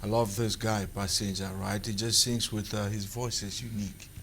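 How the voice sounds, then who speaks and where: Male TV host, husky voice, chatty, asks rhetorical questions, light background hiss. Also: lowish voice, little pitch movement, normal speed, fairly bright tone. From Cameroon. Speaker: TV talk show host. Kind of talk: studio talk show hosting.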